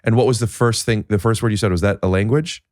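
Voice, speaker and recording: Low-voiced man, halting, close dry mic. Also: a little mellow, no room sound. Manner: halting, many restarts and fillers. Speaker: podcast host. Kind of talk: casual conversation.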